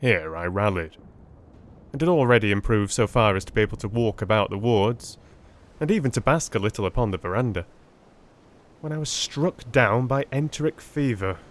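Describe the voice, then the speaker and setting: A man playing a weary Victorian army surgeon recounting his memoirs in a measured, formal, theatrical audiobook reading. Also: fairly low pitch, animated, lightly acted. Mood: measured, grave. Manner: measured, formal, literary delivery. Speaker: audiobook narrator.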